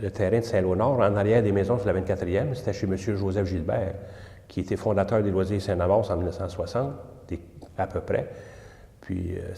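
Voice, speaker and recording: An older man with a Quebec French accent, recalling his childhood in a relaxed, hesitant way. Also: lowish voice. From Quebec, Canada. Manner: relaxed, hesitant, many pauses. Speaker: interviewee. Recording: echoey room with long reverb.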